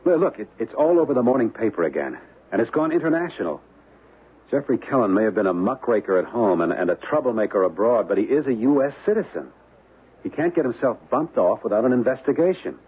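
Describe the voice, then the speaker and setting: A very husky young American husband in an old radio thriller. He is uneasy and hesitant, stammering through plain slangy talk with a lively rise and fall. The sound is a narrow, muffled vintage broadcast. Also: mid-range voice, fast talker. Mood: worried, reluctant. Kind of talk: old-time radio drama acting.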